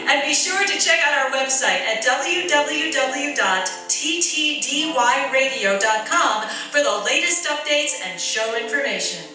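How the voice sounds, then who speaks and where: A woman's voice: sits high, lively intonation, measured pace, few pauses, bright, forceful tone. A woman, speaking as a radio theatre director and announcer, addressing a live audience from the stage. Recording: echoey hall, distant mic, music and audience.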